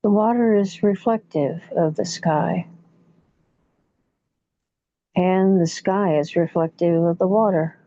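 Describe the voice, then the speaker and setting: Female meditation guide, very slow and soothing, mellow tone, slightly muffled dry recording. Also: on the low side, dry recording. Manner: soothing, unhurried, with long pauses between short phrases. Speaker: guided imagery facilitator. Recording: muffled, dull lo-fi audio.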